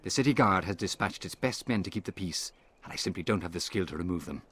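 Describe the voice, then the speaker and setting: A worried informant from an assassin order speaks in a low voice. He is earnest and confiding, a video game character who needs help. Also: lively intonation, fast talker, fairly bright tone, slightly rough, exaggerated voice. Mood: anxious, confiding. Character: worried male assassin informant asking favors of friend.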